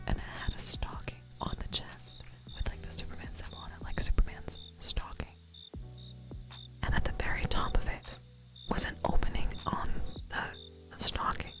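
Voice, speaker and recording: A woman streamer talks in a hushed, playful whisper right up against the microphone, giggling and rambling along. Her pitch sits high and swings widely when it breaks through. Music plays clearly behind her. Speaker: live streamer. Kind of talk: whispered chat with live viewers. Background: music playing.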